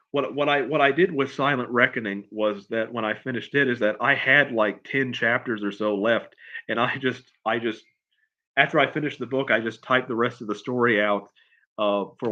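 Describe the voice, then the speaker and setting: A man chatting casually on a livestream as a writer answering questions, in a halting, rambling way, often repeating and restarting his phrases. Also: mid-range voice, bright, forceful tone, highs cut off. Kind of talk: casual unscripted chat, answering viewer questions.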